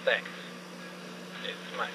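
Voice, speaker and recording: A man's voice: extremely slow, sharp tone. Noisy voicemail from an easygoing tradesman. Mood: helpful. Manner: casual, obliging. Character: helpful, easygoing tradesman, a security door installer. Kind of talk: casual voicemail message. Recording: noisy, humming answering machine message.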